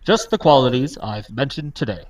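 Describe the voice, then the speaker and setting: A man's voice: medium pitch, expressive, moderate pace, far from the mic, close to an everyday voice. A man playing a pompous bank president who speaks in an oratorical way, in a radio drama read. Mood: pompous, stately. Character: pompous male bank president dictating a speech. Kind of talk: live table read of old radio drama.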